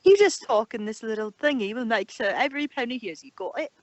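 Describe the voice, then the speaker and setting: A hyperactive, friendly young mare from a storybook, voiced by a woman. She gushes in a bubbly way, with very animated pitch in a dry, close recording. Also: a little fast, dry recording, lightly acted. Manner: bubbly, gushing.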